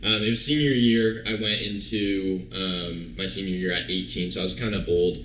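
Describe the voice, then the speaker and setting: Deep-voiced young man with a bright edge. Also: normal speed. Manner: relaxed, rambling, many fillers and restarts. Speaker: guest, online fitness coach. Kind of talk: casual storytelling interview. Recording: roomy echo.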